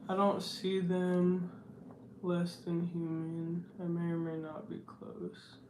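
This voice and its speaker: Young man, baritone, soft-spoken, drawn-out pace, roomy echo. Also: monotone, a little hiss or hum. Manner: slow, reflective and soft-spoken. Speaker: livestream host. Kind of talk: casual livestream chat reading comments.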